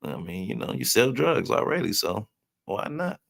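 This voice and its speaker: A man's voice: lowish voice, wildly swooping pitch, normal speed, gravelly. A man hosting a casual livestream, chatting loosely and joking with viewers in the chat. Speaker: livestream host. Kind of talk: casual live-stream commentary, reading chat.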